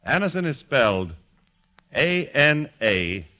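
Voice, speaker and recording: A male announcer with a bright, forceful voice at a middle pitch, reading an old-time radio commercial. His delivery is lively, polished and emphatic, on a restored vintage broadcast. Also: slow pace. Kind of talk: 1940s radio advertisement read.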